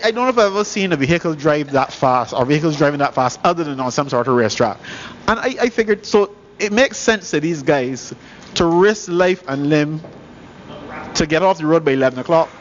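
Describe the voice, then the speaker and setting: Man rambling on radio. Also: fairly high pitch. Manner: rambling storyteller, halting repeats. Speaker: radio cricket commentator. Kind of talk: casual on-air chat. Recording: dull broadcast audio with faint hiss.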